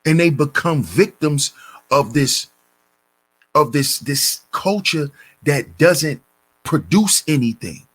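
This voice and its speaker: Slow, preachy male commentator. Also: a little high, animated, fairly bright tone. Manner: deliberate, preachy, addresses listeners as brothers. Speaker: commentary channel host. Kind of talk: reading and commenting on a news story.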